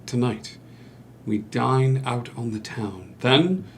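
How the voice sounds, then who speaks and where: A man's voice: mid-range voice, slow. A man playing a charming, sweet-talking fiancé in a musical theatre read, with faint noise behind him. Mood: doting, coaxing. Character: doting, charming young husband-to-be Henry, smooth sweet-talker.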